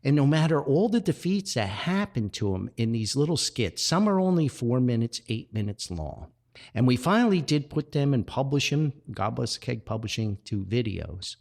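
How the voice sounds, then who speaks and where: Husky, expressive man hosting a podcast. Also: medium pitch, normal speed. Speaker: podcast host. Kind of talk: reflective personal podcast talk.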